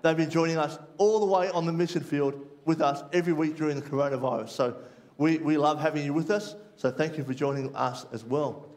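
A man's voice: on the high side, steady tone, a little fast, darkish tone. A man in his forties, a pastor, welcoming people and opening a sermon in a friendly, chatty, self-deprecating way from the stage of a large church hall.

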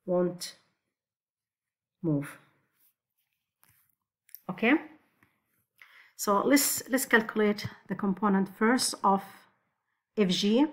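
Slow, careful woman physics tutor. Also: mid-range voice. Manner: slow, step-by-step explaining with restarts. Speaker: physics teacher. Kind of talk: screen-recorded lesson solving exam problems. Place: voice-over on a screen recording.

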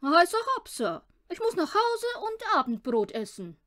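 A woman doing a brash little show-off man, high-pitched. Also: big pitch swings, unhurried, a bit bright, full character voice. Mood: greedy, cheeky. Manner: cocky, exclamatory. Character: brash, boastful, bossy, mischievous little man.

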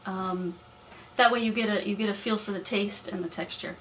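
A young woman farmer answering interview questions in a small cluttered office, calm and plain, explaining step by step. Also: medium pitch, normal speed, low-quality recording. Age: young adult. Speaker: mushroom and poultry farmer.

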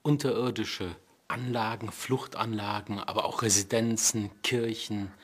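A man explaining as an expert in a documentary interview, with measured, academic phrasing in short segments. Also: lowish voice, steady tone, a bit bright, breathy edge.

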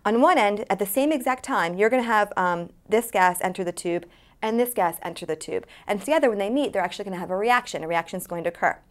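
A woman's voice: mid-range voice, fast talker. A woman in her thirties teaching chemistry at a whiteboard in a studio, explaining step by step with many fillers. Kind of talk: whiteboard lesson. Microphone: lapel mic.

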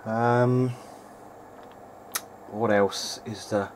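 A man's voice: lowish voice, fairly even intonation, very slow, slight background noise. A man thinking aloud in a hesitant way as he gives casual hands-on repair commentary at an indoor workbench. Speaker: hobbyist repairer presenting a video diary.

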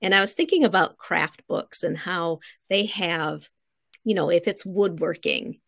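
Woman, middle-aged, clearly creaky, dry close sound, teacher explaining conversationally. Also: on the low side, a little slow, a bit bright, no room sound. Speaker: guest writing teacher. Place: home living room. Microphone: video call.

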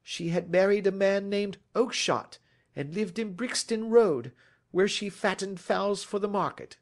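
Male reader as frightened petty thief, high cracking voice, animated swings, cringing, pleading, detective storybook. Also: gravelly, heavily acted. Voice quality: cracking, shrieking when desperate. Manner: pleading, servile. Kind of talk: classic detective audiobook character acting.

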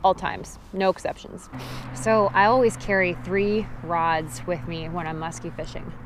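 A woman in her thirties giving a casual, practical how-to talk to a handheld action camera on a river gravel bank, firm when stressing safety rules. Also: fairly low pitch, little pitch movement, a little slow, noisy background. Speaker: fishing vlogger. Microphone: action camera held at arm's length.

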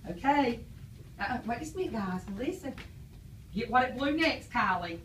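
A woman's voice: fairly high pitch, expressive, moderate pace, slight background noise. A woman teacher, quizzing and praising a class of young children after reading aloud. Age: adult. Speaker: preschool teacher. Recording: echoey classroom from far off.

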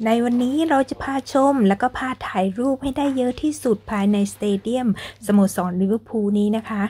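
A woman's voice: on the high side, a little fast, breathy edge. Chatty woman hosting a museum tour vlog. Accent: Thai-accented English. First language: Thai. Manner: polite, chatty, adds frequent soft sentence-end particles. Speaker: vlog host and tour guide. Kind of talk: casual narrated tour vlog. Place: football stadium museum indoors.

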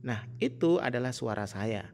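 A man's voice: medium pitch, lively intonation, moderate pace, a little mellow, a little hiss or hum. A man presenting a casual step-by-step tutorial in an instructional manner.

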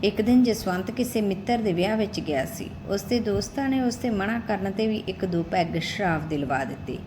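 ਸ਼ੋਰ ਵਾਲੀ ਰਿਕਾਰਡਿੰਗ ਵਿੱਚ ਪੰਜਾਬੀ ਲਹਿਜ਼ੇ ਵਾਲੀ ਕਹਾਣੀਕਾਰ ਔਰਤ. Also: female voice, medium pitch, animated, normal speed. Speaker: storyteller. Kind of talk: scripted audiobook-style story narration. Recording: clearly noisy recording with background ambience.

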